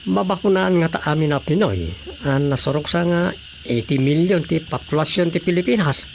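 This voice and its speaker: An actor playing a rambling, exclamatory Filipino villager who muses aloud in a radio comedy. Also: male voice, on the high side, expressive, moderate pace, vocal fry. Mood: opinionated. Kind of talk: radio drama comedy acting.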